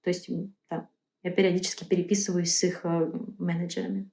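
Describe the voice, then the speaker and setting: A woman's voice: on the low side, flat delivery, gravelly, mellow, slight background noise. Woman guest in a roomy, echoey space. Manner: earnest, halting, with many self-corrections. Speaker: interview guest. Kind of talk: casual interview talk. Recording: roomy echo.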